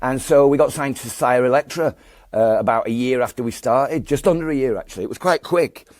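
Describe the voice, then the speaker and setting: A man's voice: medium pitch, fairly quick, darkish tone, no echo. A man in his fifties with a Northern English Yorkshire accent, a guest telling a rambling story full of fillers and restarts. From England. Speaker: guest musician. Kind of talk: relaxed storytelling interview.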